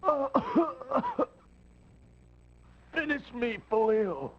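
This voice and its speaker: Male mortally wounded warrior, extremely hoarse, swooping pitch, gasping, dull old game sound. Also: huge pitch swings, extremely slow, full character voice. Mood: agonized pleading. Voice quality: raspy, strained. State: dying, gasping, coughing. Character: mortally wounded, defeated warrior pleading for death. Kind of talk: dramatic video game cutscene acting. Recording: muffled old game audio.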